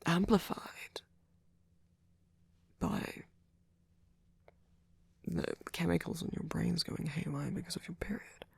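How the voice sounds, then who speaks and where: A man playing a sweet, gentle vampire boyfriend in an ASMR comfort roleplay, speaking haltingly in a breathy, husky whisper. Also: a little high, no room sound, exaggerated voice. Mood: soft, sympathetic.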